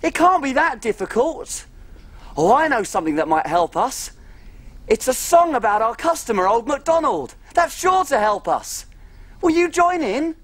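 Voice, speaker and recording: A woman's voice: high-pitched, very animated, normal speed, no echo. A woman voicing a tiny, cheerful female cook who tells stories and makes animal noises on a children's show. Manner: bouncy, sing-song, makes animal noises. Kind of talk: children's TV acting, storytelling.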